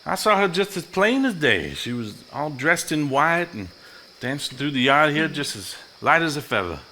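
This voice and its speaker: An earnest 1950s husband in a supernatural stage drama. His voice is bright and very lively in pitch as he reassures, with faint noise on the live recording. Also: moderate pace, exaggerated voice. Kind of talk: live stage play acting.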